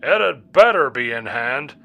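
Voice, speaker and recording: Gravelly, blustering American general. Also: male voice, mid-range voice, big pitch swings, unhurried, soft background music, exaggerated voice. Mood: angry, threatening. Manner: forceful, barking, blunt. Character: gruff, blustering American army general, hard-jawed and threatening. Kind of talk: audio story character acting.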